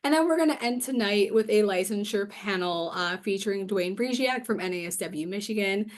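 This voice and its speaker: A young woman with a raspy voice from a cold, hosting an online forum with chatty, upbeat talk and many fillers. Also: medium pitch, animated, a little fast. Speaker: host. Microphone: video call.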